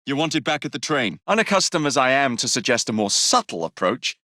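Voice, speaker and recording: An actor playing a cocky, roguish young Victorian gang leader in a video game, with an English accent and a cheeky, theatrical, teasing way of speaking. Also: male voice, medium pitch, sing-song, fairly quick, bright, forceful tone, dry recording, studio quality. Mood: teasing, assertive. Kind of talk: dramatic video game dialogue lines.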